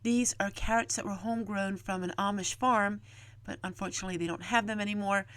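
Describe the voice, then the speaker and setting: Casting: middle-aged woman, folksy homemaking vlog host, medium pitch, dry recording. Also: steady tone, brisk, a bit bright, no echo. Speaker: homesteading vlogger and host. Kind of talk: homemaking vlog narration, explaining chores and cooking.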